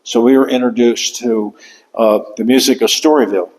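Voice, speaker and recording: An older man giving an author talk in a lecture room, speaking haltingly with many fillers and restarts. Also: mid-range voice, fairly even intonation, a little mellow. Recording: long hall reverb.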